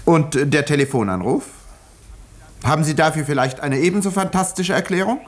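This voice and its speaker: An actor playing a guarded, sceptical village doctor in a 1950s radio play, putting cool, clipped questions. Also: male voice, on the high side, moderate pace, lightly acted. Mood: defiant.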